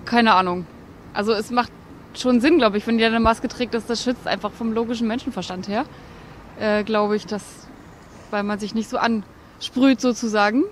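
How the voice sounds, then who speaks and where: A woman's voice: on the high side, fairly even intonation, normal speed. A middle-aged woman answering casually as a passer-by, outdoors into a handheld microphone. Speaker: interviewed passer-by. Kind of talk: casual street interview. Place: busy city street outdoors. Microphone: interviewer's handheld microphone, speaker in face mask. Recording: street traffic noise behind.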